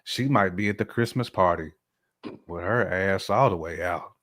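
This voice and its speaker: Casual podcast voice: American man, lower register, colloquial and slangy, leaning on repetition for emphasis. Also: normal speed, fairly bright tone.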